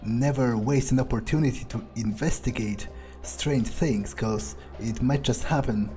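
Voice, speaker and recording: A man as an unhurried, cynical vampire. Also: mid-range voice, dark tone, faint music behind, natural voice. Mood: musing. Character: cynical, callous male vampire, casually menacing. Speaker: let's player voicing his own character. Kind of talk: in-character game playthrough, thinking aloud.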